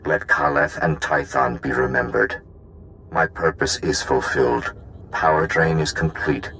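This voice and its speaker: An actor playing an ancient, dying machine gatekeeper in a video game, solemn and impassive, speaking in formal, clipped reports. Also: male voice, a bass voice, steady tone, a little slow, sharp tone, slight background noise, heavily acted, rough recording.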